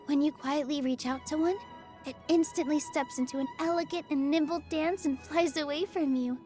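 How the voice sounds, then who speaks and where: Game role: gentle, cheerful young female dancer, played by a woman, high-pitched, earnest, easily flustered, over soft background music. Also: steady tone, normal speed, natural voice. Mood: dreamy, admiring. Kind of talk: video game character voice lines.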